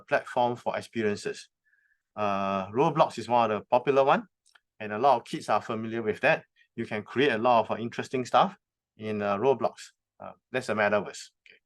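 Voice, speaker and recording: A man giving a conference presentation, explaining terms step by step and pausing often on filler sounds. He has just moved to Hong Kong from North America. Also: mid-range voice. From recently moved from North America. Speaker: conference speaker. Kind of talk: presentation talk. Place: conference venue.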